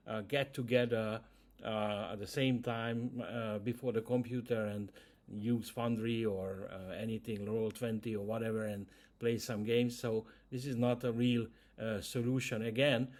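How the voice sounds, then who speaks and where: A man from Hungary with a Hungarian accent, speaking English as a second language, talking casually to camera as host, with frequent hesitations. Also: mid-range voice. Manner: hesitant, many "uh" fillers, non-native grammar. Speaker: YouTube channel host.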